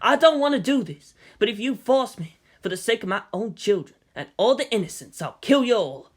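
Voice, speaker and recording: A narrator playing a weary male army general, formal and measured, who reasons and pleads firmly. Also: mid-range voice, expressive, pitched above the performer's own voice, lightly acted. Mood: solemn, pleading. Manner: measured, official, placating.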